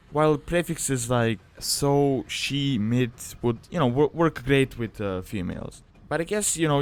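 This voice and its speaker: Casual man presenting a tutorial. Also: medium pitch. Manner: informal, conversational, hedging phrases. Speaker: video guide presenter. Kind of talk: casual explanatory tutorial narration.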